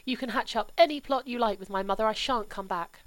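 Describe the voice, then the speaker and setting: A woman voicing a sullen, impulsive young English gentleman who is still a little drunk, petulant and raised. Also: a little high, brisk, no room sound, close to an everyday voice. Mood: defiant.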